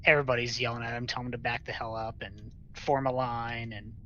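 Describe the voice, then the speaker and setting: A man's voice: medium pitch, little pitch movement, quick pace, sharp tone, lo-fi sound. A blunt, exasperated man complaining in casual gaming chat. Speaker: raid member.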